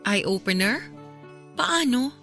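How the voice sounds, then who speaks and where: A woman's voice: fairly high pitch, expressive, slow pace, pushed and bright, soft background music, full character voice. A woman acting a caring, wistful young ex-girlfriend in a Filipino radio drama.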